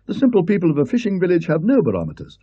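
A man's voice: high-pitched, huge pitch swings, racing, mellow, slightly rough, no echo, voice pushed up, full character voice. A man doing a prim, fussy skeptic professor. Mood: lecturing. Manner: precise, fussy, slightly hesitant. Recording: dull lo-fi recording.

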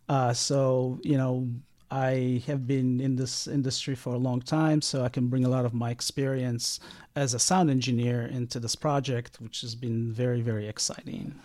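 A man who grew up in Israel speaks as a guest in a casual interview. He talks haltingly, restarting sentences and filling pauses, in an ordinary mid-range voice. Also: mid-range voice, darkish tone. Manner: halting, many fillers and restarts. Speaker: sound engineer guest.

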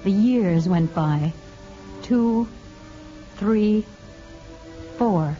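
An actress playing a composed, practical woman counselor who narrates a 1950s radio drama in a measured, dry manner. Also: mid-range voice, warm tone. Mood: measured, grave. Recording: dull old radio recording with music.

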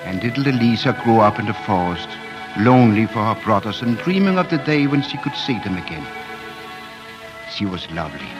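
A man's voice: lowish voice, big pitch swings, fairly quick, dull audio. A man narrating a storybook tale in a lyrical, dramatic 1940s radio style. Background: music.

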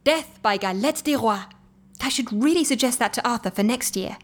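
A capable, organised woman in a podcast comedy. Her voice sits fairly high, and she talks in a droll, brisk, gently bossy way. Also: expressive. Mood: gleefully droll. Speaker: actor in a comedy fiction podcast. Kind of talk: audio comedy drama acting.

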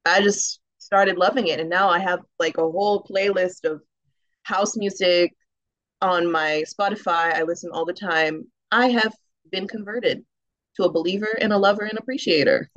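A woman with a deep, rich, round alto voice, a singer chatting in an interview, animated, laughing often and saying "um" and "like" a lot. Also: normal speed, dry recording, lo-fi sound. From United States. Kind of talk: casual interview conversation.

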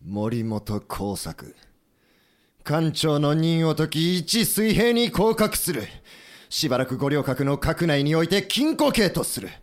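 Commanding navy leader, widely swinging intonation. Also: male voice, fairly high pitch, very animated, takes it slowly. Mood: stern anger. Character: composed, commanding navy leader of a rebel republic. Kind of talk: Japanese historical audio drama acting.

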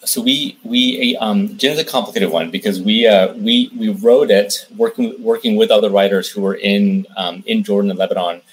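A man speaks as an interview guest in a low voice with a husky edge. He explains things conversationally, circling back and repeating words as he works through his answer. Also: fairly even intonation, moderate pace, few pauses, poor audio. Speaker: interview guest, film director and producer.

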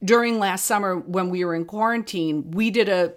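A woman talks as a guest in a casual interview. Her voice sits at an average pitch for a woman. She explains her work in an everyday conversational style. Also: moderate pace. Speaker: interview guest, fiction podcast creator.

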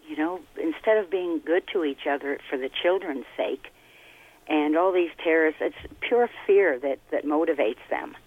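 A middle-aged or older woman from Cape Breton, Canada, calling a radio show over the phone and talking in a halting, earnest, personal way. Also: fairly low pitch. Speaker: phone-in caller. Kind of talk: casual, personal opinion talk. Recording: narrow, tinny phone line.

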